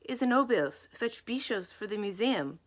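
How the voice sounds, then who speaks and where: Woman playing a stubborn old housekeeper, halting speech. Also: mid-range voice, expressive, voice pushed up, close to an everyday voice. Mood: defensive. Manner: halting, broken English.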